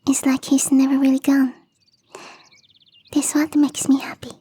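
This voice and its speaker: A woman playing a shy, playful young catgirl gardener in an intimate ASMR roleplay, speaking softly and hesitantly in a breathy half-whisper. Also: sits high, monotone, a little slow. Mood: wistful, serene. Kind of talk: ASMR roleplay, intimate one-sided dialogue.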